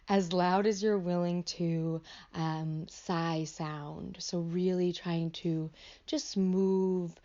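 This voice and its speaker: Woman guiding meditation, low voice, slightly dull recording. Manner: gentle, hesitant, invitational phrasing. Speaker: meditation teacher. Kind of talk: guided meditation, unscripted spoken instruction.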